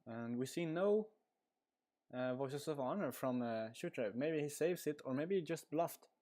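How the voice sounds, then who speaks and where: A man's voice: fairly high pitch, lively intonation, moderate pace, mellow. Casual man commentating a card game. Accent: Swedish. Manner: casual, rambling, frequent uh fillers. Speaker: stream commentator. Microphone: headset.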